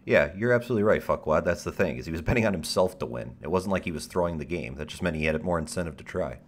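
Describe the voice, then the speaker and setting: A man hosting a livestream in casual chat, with a deep radio-style voice, rambling in stop-start phrases with a wry, self-deprecating tone and casual swearing. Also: lively intonation, quick pace, slight background noise. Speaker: livestream host.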